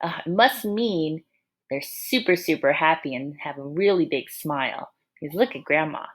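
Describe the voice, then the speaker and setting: A woman reading a picture book aloud to young children like a teacher, expressive and encouraging, pausing to ask the listeners questions and add asides. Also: low-pitched, breathy edge.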